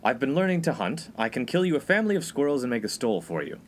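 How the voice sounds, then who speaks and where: A man's voice: medium pitch, very animated, quick pace, slight background noise, lightly acted. A young man playing an exasperated, put-upon college freshman with a dry manner in a comedy radio play. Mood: placating. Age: young adult. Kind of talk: 1950s-set comedy radio play acting.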